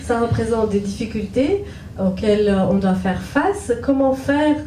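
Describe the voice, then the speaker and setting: A woman's voice: a little high, animated, normal speed, dark tone, roomy sound, distant mic, a little hiss or hum. A woman moderating a public debate, introducing the panel in a formal, inviting way. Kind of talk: event moderation.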